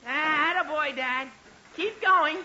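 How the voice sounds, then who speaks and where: A man's voice: extremely high pitch, takes it slowly, bright, forceful tone, slight background noise, full character voice. An American man playing an eager, earnest teenage boy in an old time radio comedy, with a whiny gee-whiz manner. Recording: muffled vintage radio recording.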